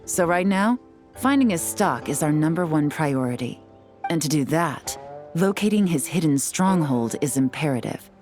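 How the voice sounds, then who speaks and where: A composed female spy with a low voice, sly and self-assured, teasing as she talks through her schemes. Soft game music sits faintly behind her. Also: expressive, normal speed. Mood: explaining. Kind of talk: video game voice acting.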